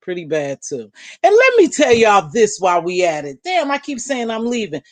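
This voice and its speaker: Woman, deep voice, middle-aged, livestream host, blunt chatty talk, dry room. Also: huge pitch swings, normal speed, no echo. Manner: chatty, blunt, calls viewers baby and girl. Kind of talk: casual live chat, answering viewer comments. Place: at home.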